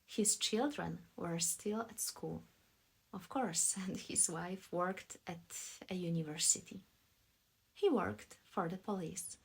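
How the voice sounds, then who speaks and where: A woman from Poland reading scripted audiobook narration as a voice actress, in a soft, deliberate storytelling manner. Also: on the low side, vocal fry, breathy edge, low-quality recording.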